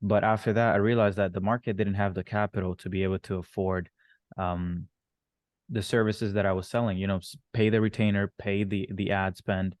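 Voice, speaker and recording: Low-voiced man in a casual interview. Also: little pitch movement, a little fast, darkish tone, dry recording, studio quality. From born in Rwanda, moved to Canada at thirteen. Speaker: interview guest, entrepreneur. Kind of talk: casual interview, telling his own story.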